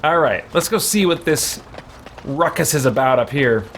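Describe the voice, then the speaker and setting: A man's voice: a little high, moderate pace, fairly bright tone, slight background noise. A man giving casual gameplay commentary as he plays, musing aloud. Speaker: gamer, let's play host.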